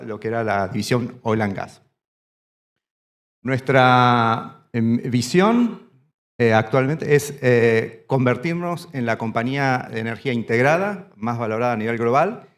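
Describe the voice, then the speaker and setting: A man from Argentina giving a standing conference presentation in a hall, halting and often restarting his phrases. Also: medium pitch. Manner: speaks standing up. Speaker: panel speaker.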